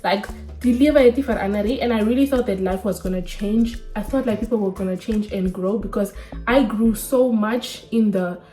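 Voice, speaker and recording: A woman's voice: medium pitch, brisk, warm tone, faint music behind. Candid Namibian woman vlogging in her twenties. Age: 20s. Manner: candid, chatty, slangy. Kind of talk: casual sit-down vlog chat.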